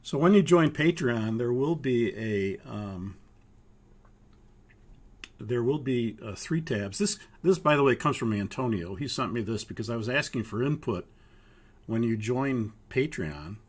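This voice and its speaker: An older man from Minnesota, hoarse from a cold, walking students through a flight training course in a halting way with many pauses. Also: medium pitch, animated. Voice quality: gravelly, husky. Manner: halting, with frequent uhs. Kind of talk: live online course walkthrough.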